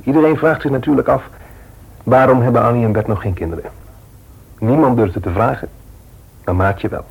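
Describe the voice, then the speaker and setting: Gravelly, guarded married man. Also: mid-range voice, darkish tone, rough recording. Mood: gently evasive. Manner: halting, guarded. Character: troubled, reserved married man confiding in a relative. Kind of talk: radio drama acting.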